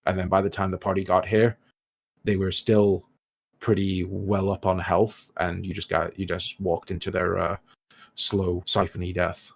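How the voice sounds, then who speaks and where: A man's voice: low voice, little pitch movement, normal speed, creaky. A man hosting a tabletop game podcast as game master, chatting casually and informally. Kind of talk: casual post-game chat and sign-off.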